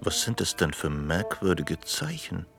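An actor playing a sceptical, inquisitive investigator in a radio play, probing with questions. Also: male voice, sits low, sing-song, measured pace, creaky, a bit bright, soft background music, full character voice. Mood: hushed, puzzled. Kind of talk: German radio play acting.

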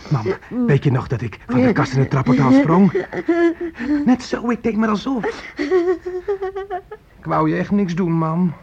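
A man's voice: sits high, sing-song, measured pace, long pauses, crowd noise, heavily acted. An actor playing a volatile young fugitive who bullies his mother in a radio play, menacing and childishly needy. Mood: pleading. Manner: menacing, swings into childish pleading. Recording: muffled, noisy old radio play recording.

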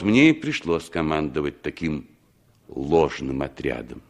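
Slow, low-voiced war veteran reminiscing. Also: male voice, creaky, exaggerated voice. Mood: grave, measured. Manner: unhurried, expressive storytelling with pondering pauses. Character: former Soviet war commander, quietly recalling his survival. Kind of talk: 1960s Soviet radio drama acting.

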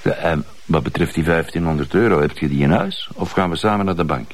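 An actor playing a sly, money-grubbing male investigator with a Flemish accent and a smug, taunting manner. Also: a bass voice. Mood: sly, needling. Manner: smug, taunting, drawn-out phrases. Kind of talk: television crime drama acting.

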